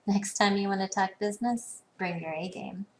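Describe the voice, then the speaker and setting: A woman's voice: medium pitch, moderate pace, lightly acted. An actress playing a confident, probing businesswoman in a video game, with a composed, knowing delivery. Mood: cool, knowing. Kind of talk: scripted game dialogue.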